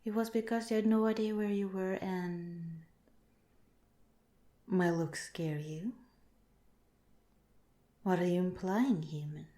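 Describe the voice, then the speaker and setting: A calm alien scientist, acted by a woman speaks in a lowish, very mellow voice. The delivery is soft, measured and politely detached, in the manner of an ASMR roleplay. The sound is noticeably roomy and echoing. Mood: curious. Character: calm, clinical alien researcher reassuring a captive human.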